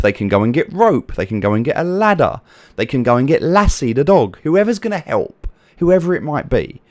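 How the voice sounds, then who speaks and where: A man's voice: on the high side, darkish tone, no room sound. A British man hosting a livestream, answering chat by name and making earnest, repetitive points about helping others. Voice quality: soothing voice. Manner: earnest, preachy, repeats points for emphasis; reads viewer names from chat and answers them. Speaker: livestream host.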